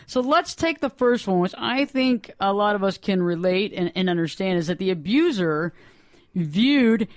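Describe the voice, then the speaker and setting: A woman's voice: mid-range voice, no echo, studio quality. A woman hosting a live radio talk show, speaking with energy and emphasis and repeating her points.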